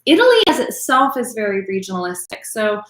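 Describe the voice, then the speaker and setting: A woman's voice: medium pitch, sing-song, fairly quick, a bit bright, echoey room. A woman teaching an online wine class in a chatty way, full of ums, calling on attendees by name. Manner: chatty, filler-heavy, addresses attendees by name.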